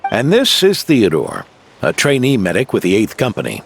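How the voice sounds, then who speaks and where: Gravelly old knight. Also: male voice, lowish voice, wildly swooping pitch, normal speed, full character voice. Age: older. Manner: gruff, fatherly, teasing. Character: old seasoned male knight, gruff and steady. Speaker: veteran knight. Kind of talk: video game voice acting.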